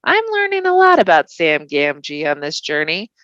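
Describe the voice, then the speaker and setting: A woman voicing a gentle, wry male hobbit traveler with a mild manner, in a storybook. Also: deep voice, huge pitch swings, moderate pace, no room sound, lo-fi sound, exaggerated voice. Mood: wry, frank.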